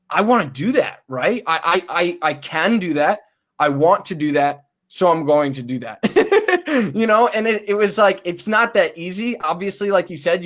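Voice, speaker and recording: A young man with a big, booming voice, pitched a little above the usual for men. He talks eagerly and loosely into a desktop podcast mic on a video call, stumbling over restarts and fillers as he goes. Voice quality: booming loud voice. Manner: enthusiastic, many fillers and restarts. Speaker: chiropractic student guest. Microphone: desktop USB podcast mic.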